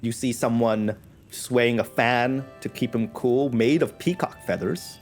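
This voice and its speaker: Man, tabletop player, lavish descriptive narrator with casual asides; mid-pitched, light music under him. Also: a little mellow. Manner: lush, slow scene-painting with casual asides. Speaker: player describing his character's throne room.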